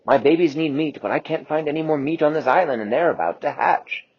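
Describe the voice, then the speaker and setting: A man's voice: a little high, a little fast, slightly rough, voice pushed up. A man voicing a worried mother Tyrannosaurus in a storybook tale, with rising anxious pleas. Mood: calm. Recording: lo-fi, dull.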